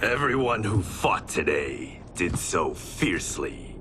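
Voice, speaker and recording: An actor playing a deferential, sinister male servant who speaks low and half-whispered. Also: big pitch swings, slow, background noise, full character voice. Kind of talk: video game cutscene acting.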